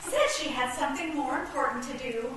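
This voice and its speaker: A woman playing an eager, childlike, blunt living chess piece in a radio play, excitable and wide-eyed. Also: high-pitched, a little fast. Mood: excited. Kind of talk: live radio play acting. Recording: echoey noisy stage, far from mic.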